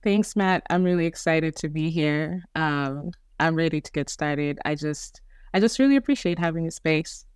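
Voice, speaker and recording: Reflective Kenyan-raised woman guest, smooth voice. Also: sits low, normal speed, no echo, studio quality. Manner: reflective, storytelling with frequent restarts. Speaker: guest, company founder. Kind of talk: conversational interview. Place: home in Denver, remote call.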